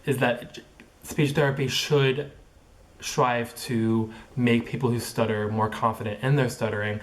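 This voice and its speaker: A young man speaks to camera in a casual vlog, his voice sitting at an ordinary middle pitch. He stutters, repeating first sounds and locking up on words. His phrasing is halting and crowded with likes and ums. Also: steady tone. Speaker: vlogger. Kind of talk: casual personal vlog monologue.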